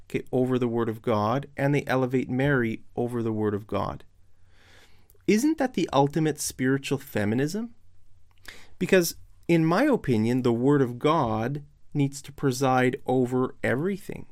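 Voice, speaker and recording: A man with a hoarse, failing voice giving casual online Bible commentary, posing pointed questions to his audience. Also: mid-range voice. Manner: slow and deliberate. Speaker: religious video commentator.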